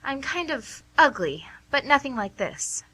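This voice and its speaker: A woman's voice: high-pitched, very animated, a little slow, pushed and bright, slight background noise, full character voice, rough recording. A woman playing a shy, teasing, self-deprecating masked woman on a date in an amateur radio play, playful and coy.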